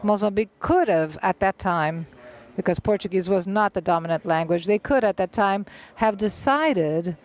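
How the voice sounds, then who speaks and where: An interpreter voicing a literary festival panel into English on the fly, speaking in short halting bursts with many filled pauses and restarts. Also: mid-range voice.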